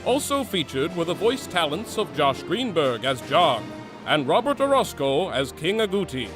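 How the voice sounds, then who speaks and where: A man's voice: a little high, big pitch swings, normal speed, music in the background. A man announcing an old-time radio adventure serial in a theatrical, emphatic style.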